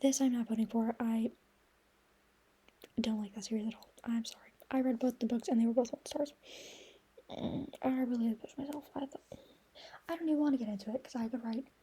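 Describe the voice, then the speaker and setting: High-voiced woman, soft and whispery. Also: steady tone, fairly quick, long pauses, breathy edge. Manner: soft, often whispery, gushing. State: losing her voice, getting sick. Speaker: book vlogger. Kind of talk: casual chat, reviewing books.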